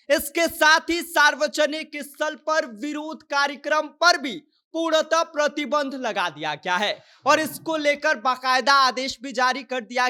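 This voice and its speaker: A woman's voice: high voice, sharp tone. A woman presenting a news explainer in a scripted reporting style.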